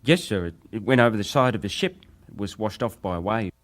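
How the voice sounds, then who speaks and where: A man acting as a young ship's wireless operator and survivor, giving testimony in a deferential, hesitant, measured way. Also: on the low side, expressive. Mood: subdued, deferential. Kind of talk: dramatized reading of hearing testimony.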